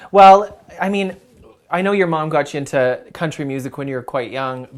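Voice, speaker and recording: A man's voice: fairly high pitch, moderate pace. Playful, teasing male interviewer. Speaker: interview host. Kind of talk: casual interview chat.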